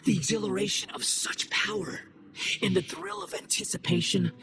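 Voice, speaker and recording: A man's voice: high-pitched, fairly even intonation, normal speed, gravelly. A man playing an arrogant, scornful newborn god in a video game, theatrical and grandiose, with a sneering manner. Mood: exultant. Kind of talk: English video game cutscene voice acting. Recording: music playing behind the voice.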